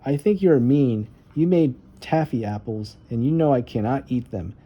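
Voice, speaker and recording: A man's voice: mid-range voice, normal speed, breathy edge, dull audio, natural voice. A man voicing a stubborn, boastful young boy in a children's storybook read-aloud. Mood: sulky.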